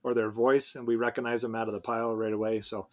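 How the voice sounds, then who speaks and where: A man's voice: medium pitch, flat delivery, quick pace. Guy hosting a podcast, friendly and a bit rambly, lots of restarts. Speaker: podcast host. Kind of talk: podcast interview.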